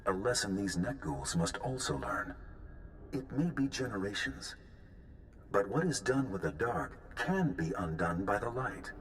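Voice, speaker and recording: An actor playing a wise, patient, measured male creature who teaches in a video game. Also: lowish voice, big pitch swings, fairly bright tone. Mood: patient, grave. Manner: measured, formal. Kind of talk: video game cutscene acting. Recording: music behind the voice.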